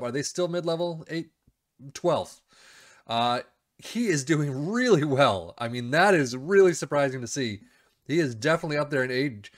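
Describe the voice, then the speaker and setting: A man's voice: a little high, a bit bright. A middle-aged man casually commenting on a football management game save, reading out numbers with chatty asides, recorded at a desk in a small room. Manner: chatty, reads stats aloud with asides. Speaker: video game YouTuber.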